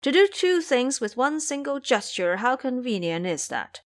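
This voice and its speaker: A woman acts out a foolish pig-monster bridegroom from an audio drama. He is eager and greedy, blustering and pleading in loud exclamations with a lively, swinging pitch. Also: moderate pace.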